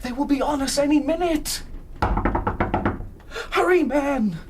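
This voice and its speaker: A man's voice: very high-pitched, a little slow. An actor in a radio drama playing a sly, two-faced convict posing as an unctuous clergyman. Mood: feigned panic. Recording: noisy radio drama scene.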